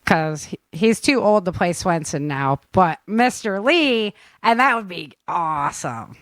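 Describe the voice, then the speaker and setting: Clear-voiced woman hosting a podcast, upbeat. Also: fairly low pitch, lively intonation, a little slow, no room sound. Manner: upbeat, informal. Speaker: podcast host. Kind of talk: casual chat and sign-off.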